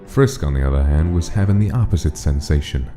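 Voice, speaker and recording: A man's voice: a bass voice, animated, a little fast, warm tone. A man narrating a dramatic story from a script, as the narrator of a comic dub. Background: music.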